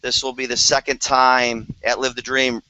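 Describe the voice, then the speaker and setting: A man's voice: medium pitch, level tone, moderate pace, sharp tone. A man chatting enthusiastically on a group video call, rambling with fillers. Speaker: business team leader on a video call. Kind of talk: casual group video chat. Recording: dry, slightly dull call audio.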